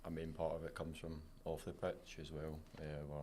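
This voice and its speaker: A man's voice: very deep voice, completely flat delivery, brisk, warm tone, a little hiss or hum. A young man with a Glaswegian accent, a student soccer captain giving brief, plain interview answers in a college studio. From Scotland. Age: young adult.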